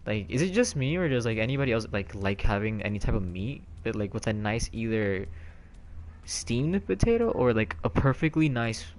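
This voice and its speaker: A man's voice: on the low side, sing-song, moderate pace, a little hiss or hum. A man streaming from home on a headset mic, rambling in casual chat with many fillers and restarts.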